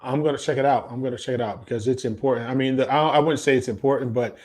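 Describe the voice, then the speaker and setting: A man's voice: mid-range voice, fairly even intonation, fast, a little mellow. A man hosting a podcast in casual, slangy chat, often repeating phrases for emphasis. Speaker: podcast host.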